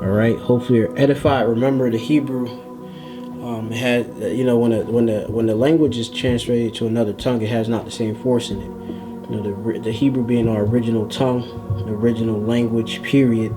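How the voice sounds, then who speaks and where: A man giving an informal scripture lesson, explaining word by word in a halting, deliberate way and often checking in as he goes. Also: medium pitch, flat delivery, over music, rough recording. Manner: halting, deliberate, frequently checking in with "all right". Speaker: religious Hebrew language teacher.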